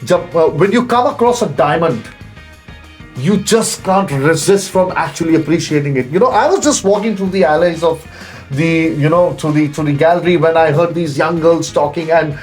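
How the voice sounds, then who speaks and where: A man's voice: fairly high pitch, normal speed, soft background music. Gushing man hosting an interview. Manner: gushing, full of praise, many "you know" fillers. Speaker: talk show host. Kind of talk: effusive interview chat.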